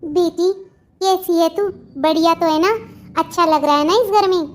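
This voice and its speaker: A performer acts out an elderly, motherly mother-in-law in a family cartoon. The voice is strikingly high, with a doting, pleading way of talking and a worried edge. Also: steady tone, normal speed. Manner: pleading, motherly, repetitive endearments. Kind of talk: Hindi family comedy cartoon dialogue.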